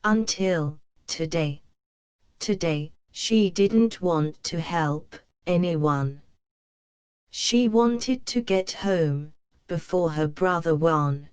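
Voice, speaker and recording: Slow, clear woman reading a storybook. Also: on the low side, a little mellow, no echo, lo-fi sound. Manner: slow, clear read-aloud for children. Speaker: narrator. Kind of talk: scripted storybook narration.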